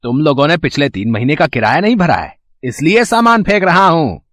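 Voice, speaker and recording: Guy acting a mean village moneylender, harsh n taunting, voice a bit high and jumps all over, moral story. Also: sing-song, brisk, a bit bright, no room sound, studio quality. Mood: threatening. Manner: harsh, scornful, menacing. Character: cruel, taunting village moneylender landlord threatening eviction. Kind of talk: dramatised Hindi moral story.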